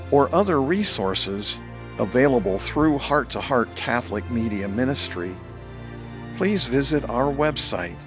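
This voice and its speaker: A man reading a scripted closing announcement as announcer for a religious audiobook, with music behind him. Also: medium pitch. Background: clear music.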